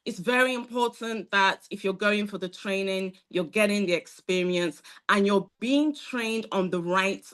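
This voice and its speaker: A woman's voice: medium pitch, a little slow, hardly any pauses, fairly bright tone. Encouraging woman teaching a live class. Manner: direct, encouraging, many fillers. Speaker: instructor at a training academy. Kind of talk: live online masterclass.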